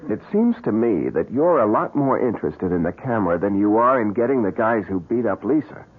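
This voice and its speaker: A man's voice: fairly low pitch, big pitch swings, brisk, slightly rough. An actor playing an agitated, evasive businessman who stammers defensively when pressed, in a radio drama. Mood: guarded. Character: agitated, evasive young businessman hiding a secret camera. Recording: muffled lo-fi radio drama recording.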